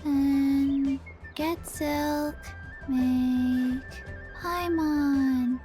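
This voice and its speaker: A woman voicing a small, forgetful, sweet zombie girl in a video game, with a halting, deadpan, childlike delivery. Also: high voice, soft background music. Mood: halting, dreamy.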